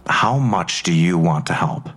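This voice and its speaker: A man's voice: deep voice, expressive, unhurried, a bit bright, a little hiss or hum. An actor playing a matter-of-fact male investigator methodically laying out a case in an audio drama.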